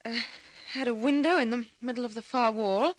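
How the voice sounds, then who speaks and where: A woman's voice: a little high, a little fast, no echo, natural voice, poor audio. An actress playing a frantic, pleading young woman in an old-time radio drama, breathless and insistent, sometimes stammering. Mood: anxious, earnest. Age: young adult. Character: frantic, pleading young woman seeking her missing sister.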